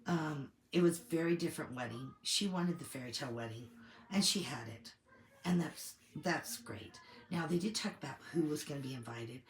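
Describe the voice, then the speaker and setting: Low-voiced woman with a cold, echoey room. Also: lively intonation. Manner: opinionated, measured, thinking aloud. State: getting over a cold, slightly hoarse. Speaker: co-host of a TV commentary channel. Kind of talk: casual opinion commentary.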